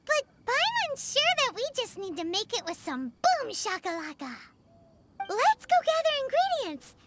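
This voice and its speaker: A woman voicing a tiny, excitable, greedy fairy girl companion in a video game, with a squeaky childlike voice and bubbly delivery. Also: a little slow, very noisy, exaggerated voice. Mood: excited, scheming. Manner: bubbly, speaks of herself in third person.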